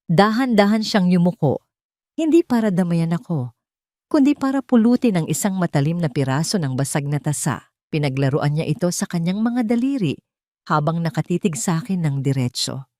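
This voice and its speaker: A woman's voice: lowish voice, animated, moderate pace, mellow, dry recording, clean studio sound. A woman storyteller reading dramatic, scripted first-person narration.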